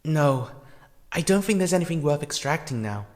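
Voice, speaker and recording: A man acting a compassionate, hesitant character in a fan audio drama, earnest and halting in his delivery. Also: on the high side, animated, lightly acted. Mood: uneasy, reporting. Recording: long reverb, music underneath.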